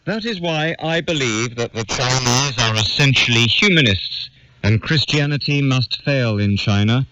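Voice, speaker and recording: A man reads a literary essay aloud in a measured, formal, declamatory way. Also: mid-range voice, pushed and bright, muffled recording. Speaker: reader of an essay. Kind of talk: scripted narration, reading a literary essay aloud.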